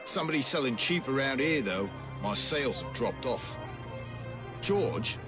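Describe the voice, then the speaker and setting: An actor playing a gruff, proud and dismissive Victorian butcher with an English accent in a video game. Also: male voice, medium pitch, lively intonation, a little slow, over music, natural voice. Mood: irritated, dismissive. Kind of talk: video game cutscene acting.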